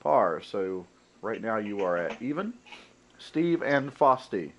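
A man's voice: fairly low pitch, sing-song, slow pace, a bit bright, slight background noise. Stream host, man in his 30s, stuffy nasal voice, upbeat golf commentary, headset, slightly muffled audio. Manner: upbeat, welcoming, talks through his own shots. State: nasal, congested from a cold. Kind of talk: casual game commentary. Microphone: gaming headset.

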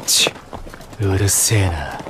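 An actor playing a stern, cold young soldier captain in an anime game, speaking curtly and gruffly. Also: male voice, sits low, animated, slow pace, bright, forceful tone, slight background noise, heavily acted. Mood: irritated. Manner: curt, gruff, terse. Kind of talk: Japanese anime game voice acting.

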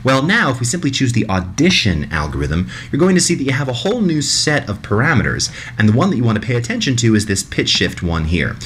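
A man in his thirties narrating a software tutorial in a conversational, demonstrative way, recorded indoors in a small room. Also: medium pitch, quick pace, few pauses, a little hiss or hum. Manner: animated, demonstrative, mimics sounds vocally.

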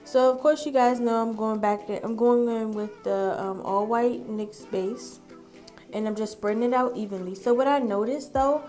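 A woman's voice: fairly high pitch, moderate pace, darkish tone, faint music behind. A woman makeup artist giving a casual, chatty voice-over tutorial, informally addressing her viewers.

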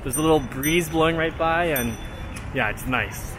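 A man's voice: on the high side, normal speed, background noise. A Canadian man in his thirties, vlogging casually while walking through city streets and bars at night, easygoing and upbeat, with handheld camera sound. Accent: Canadian English. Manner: chatty, easygoing, upbeat narration with fillers. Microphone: handheld camera at arm's length.

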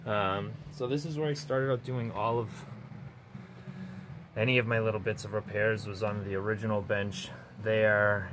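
A man with a somewhat low voice rambles casually, swearing freely and filling gaps with ums and likes. He talks while walking a phone camera around a cluttered basement workshop. A clear steady hiss sits under the phone audio. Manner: loose, profane, rambling with many fillers. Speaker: vlogger giving a workshop tour. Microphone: handheld phone camera, speaker off-screen.

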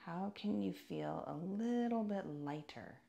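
Female meditation guide: very unhurried, soothing delivery, noticeable vocal fry, deliberate phrasing. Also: lowish voice, animated, dark tone. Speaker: yoga and meditation teacher.

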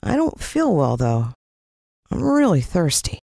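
A woman with an American accent voicing a scared, worn-out, injured young boy in a storybook, plaintive and excitable. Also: lowish voice, a little slow, vocal fry, dry recording, clean studio sound, full character voice. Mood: weary, plaintive.

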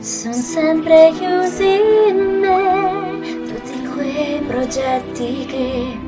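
A woman's voice: sits high, drawn-out words, over loud music. A woman playing an earnest, determined young heroine, singing melodically in an amateur musical cartoon dub. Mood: hopeful, determined. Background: loud musical accompaniment.

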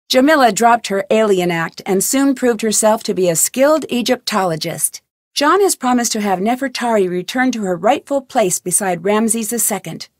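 A woman's voice: mid-range voice, no echo, studio quality, lightly acted. An actress voicing a wry young woman detective in scripted game narration.

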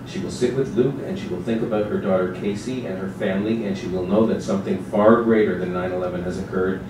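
A man narrating a literary text in a staged dramatic reading, with a measured, deliberate delivery. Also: low voice, fairly even intonation. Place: small theatre stage with seated audience. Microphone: distant camera microphone. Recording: echoey stage recording from far off, noisy.

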